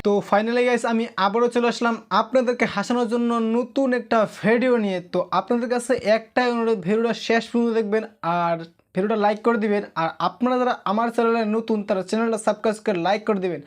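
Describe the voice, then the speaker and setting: A woman's voice: fairly high pitch, steady tone, fast. A woman giving a scripted intro as host of a video channel.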